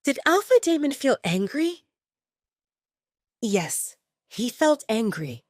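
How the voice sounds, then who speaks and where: Female romance audio drama narrator: lively, wide-ranging intonation, scripted storytelling, dry studio-like sound. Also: on the high side, slow pace, no echo.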